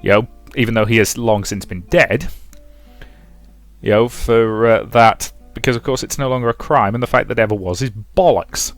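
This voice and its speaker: A man's voice: mid-range voice, moderate pace, no room sound, faint music behind. A man commentating on and reading aloud from a visual novel as a let's play host.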